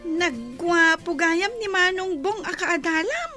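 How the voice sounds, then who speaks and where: A woman's voice: very high voice, animated, takes it slowly, over music, full character voice. A woman with a Filipino accent playing a teasing, nosy little sister in a radio drama. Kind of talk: Ilocano radio drama acting.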